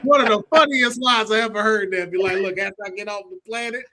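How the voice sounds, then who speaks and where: An American man hosting a casual podcast, talking in an animated, slangy and profane way. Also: high-pitched, fast, crowd noise. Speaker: podcast host. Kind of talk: casual podcast chat about movies and games.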